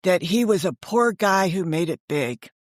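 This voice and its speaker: A woman's voice: fairly low pitch, expressive, normal speed, no room sound, clean studio sound. A woman being interviewed as a guest, telling stories in a chatty, digressive way and voicing other people's remarks as she goes. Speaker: interview guest, author and storyteller. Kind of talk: animated anecdotal storytelling in casual conversation.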